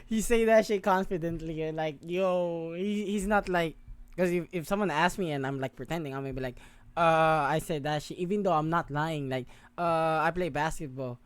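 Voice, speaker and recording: A man giving casual, off-the-cuff reaction commentary as host, slangy and quick to swear. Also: a little high, clear, resonant tone, dry recording.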